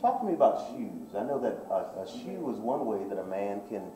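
A man's voice: fairly low pitch, very animated, brisk, dark tone, recorded from a distance, slight background noise. A man in his forties hosting a style show, interviewing a shop owner with polished, upbeat patter on location. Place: menswear boutique, indoors. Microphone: far camera. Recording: roomy shop sound from off-mic.

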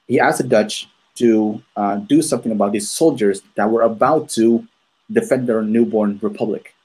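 A low-pitched man from Maluku in his thirties, talking into a desk mic on a video call from home. He hosts in a relaxed, conversational way, filling his pauses with ums and restarting his sentences. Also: breathy edge, rough recording. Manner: unhurried, many ums and restarts. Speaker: podcast host. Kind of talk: casual conversational storytelling. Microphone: earphones with a desk microphone.

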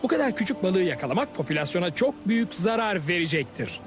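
A man's voice: high voice, big pitch swings, quick pace, music in the background. A man voicing a righteous, defiant young guardian of the planet in a dubbed cartoon, speaking firmly. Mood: stern. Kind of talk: Turkish dubbed educational cartoon.

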